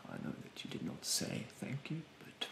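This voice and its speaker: A young man doing a soft-spoken ASMR roleplay in a breathy, hushed voice, politely snide and condescending with drawn-out, hesitant phrasing. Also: reverberant, a little hiss or hum. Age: young adult. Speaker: ASMR performer playing a rude facial therapist. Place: bedroom, indoors.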